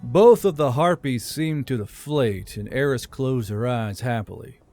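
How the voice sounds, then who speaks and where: A man's voice: medium pitch, unhurried, darkish tone. A man narrating a fantasy story as an audiobook, as the host of his own channel. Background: background music.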